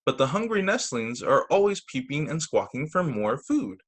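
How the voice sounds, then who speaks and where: A man teaching young children through a read-aloud storytime on a video call, with expressive, deliberate phrasing. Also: a little high, slightly rough. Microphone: video call microphone.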